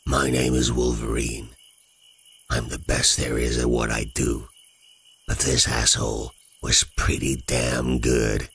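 An actor playing a gruff, menacing antihero in a motion comic, speaking in a slow, raspy growl. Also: male voice, a bass voice, flat delivery, a bit bright, exaggerated voice. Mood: menacing, smug. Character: gruff, menacing male feral mutant antihero.

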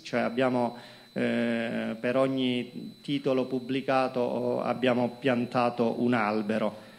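A middle-aged man from Calabria giving a halting talk to students at a lectern, with many fillers and repeated words, into a handheld microphone in a conference hall. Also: medium pitch, creaky. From Calabria, Italy. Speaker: company executive presenting to students. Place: conference hall with projector screen.

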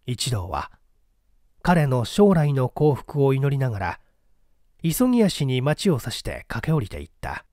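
Mellow male story reader with lively intonation. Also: medium pitch, a little slow, no echo, studio quality. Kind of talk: dramatic literary narration of a mystery.

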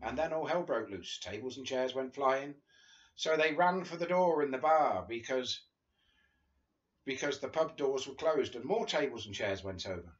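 A man's voice: medium pitch, animated, moderate pace. An English man in his fifties reading a memoir aloud in an informal, amused way. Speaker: guest reader. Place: small living room, seated on a sofa. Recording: dull lo-fi video call audio.

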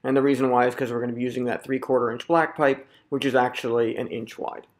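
A man in his thirties talks viewers through a do-it-yourself project in an easygoing, step-by-step way. His mid-pitched voice carries a gravelly rasp. He sounds picked up by a camera mic in a room at home. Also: fast talker. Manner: relaxed, self-deprecating, explaining step by step. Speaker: DIY video host. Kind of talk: casual how-to narration.

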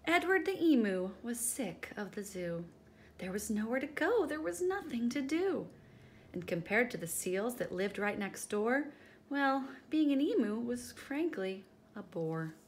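High, animated woman reading to children. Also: measured pace, a little hiss or hum. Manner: expressive, addressing young children. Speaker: storytime reader, teacher. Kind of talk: children's picture book read-aloud.

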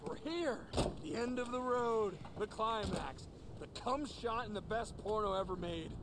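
Crude excitable druggie rebel leader in a video game, very high voice, swings all over, noisy engines behind. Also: male voice, measured pace. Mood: elated, sentimental. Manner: exuberant, crude, hyped-up ranting. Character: excitable, foul-mouthed, drug-loving revolutionary man, stoner gang leader. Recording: loud vehicle noise behind.

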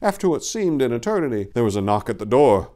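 A man's voice: mid-range voice, very animated, quick pace, mellow. A man in his forties giving a theatrical, mock-earnest dramatic reading of a comic romance story, recorded indoors on a lapel mic. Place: indoors, book-lined room.

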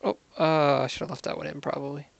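A man's voice: a little high, fairly even intonation, fast, vocal fry, dry recording, lo-fi sound. A man giving casual gameplay commentary, muttering to himself and counting under his breath. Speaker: gaming video creator.

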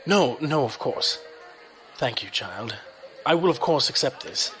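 An actor playing a menacing, smooth-talking crime boss in an audio drama, with an oily, condescending manner. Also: male voice, medium pitch, animated, measured pace, fairly bright tone, soft background music, a faint crowd. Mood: placating.

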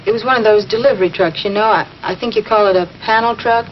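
A woman's voice: mid-range voice, fast talker, natural voice. A young woman playing a shaken, earnest cashier giving a witness account in 1950s drama style, somewhat hesitant. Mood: hesitant, helpful. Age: young adult. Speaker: eyewitness. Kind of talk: 1950s television drama acting. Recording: dull, faintly noisy 1950s film soundtrack.

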